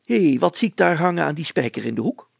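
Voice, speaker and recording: A man voicing an excitable, stubborn, self-satisfied small-town mayor with a blustery manner in a story. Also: on the high side, fast, vocal fry, slightly rough, no room sound, pitched above the performer's own voice, heavily acted. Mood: delighted.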